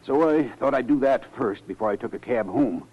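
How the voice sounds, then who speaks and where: Fussy, nervous gentleman, muffled radio. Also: medium pitch, expressive, fairly quick, husky. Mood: fussy, polite. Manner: hesitant, repetitive, genteel. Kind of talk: 1940s radio acting. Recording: muffled old radio broadcast.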